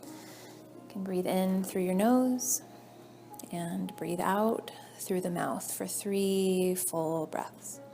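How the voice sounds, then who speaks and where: Soft, even-toned woman guiding meditation. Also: medium pitch, creaky, faint music behind. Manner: soothing, measured, trailing off softly at phrase ends. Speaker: yoga nidra teacher. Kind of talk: guided meditation. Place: indoor class room. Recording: faint background noise, live class recording.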